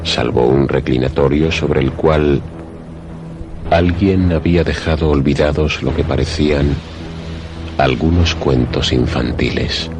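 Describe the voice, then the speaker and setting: A man narrating a scripted suspense radio play in a measured, literary storytelling manner. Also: a bass voice. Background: music under the voice.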